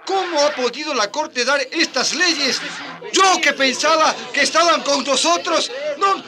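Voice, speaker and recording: A man's voice: extremely high pitch, measured pace. An actor playing a dismayed colonial churchman who pleads anxiously in a radio drama. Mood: aghast disbelief. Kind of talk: Spanish-language radio drama acting. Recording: crowd clamour behind.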